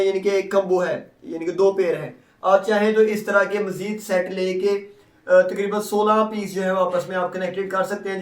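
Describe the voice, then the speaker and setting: A man giving a casual, step-by-step product unboxing and demonstration, often checking in with small tag phrases as he explains. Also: high voice. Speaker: product reviewer. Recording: echoey room.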